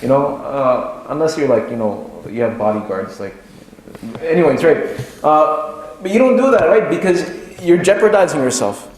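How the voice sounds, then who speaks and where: Male preacher, slightly high voice, explanatory mosque lecture, didactic repetition, heavy room echo, faint noise. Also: lively intonation, normal speed. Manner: didactic, repeats key phrases for emphasis. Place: echoey mosque hall. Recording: very echoey room, clear background noise.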